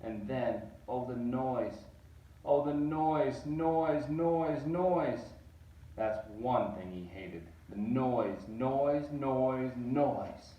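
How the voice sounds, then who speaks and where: A man reading a picture book aloud, animated and rising on repeated words. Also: mid-range voice, drawn-out words, lo-fi sound. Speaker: reader. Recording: roomy echo, distant mic.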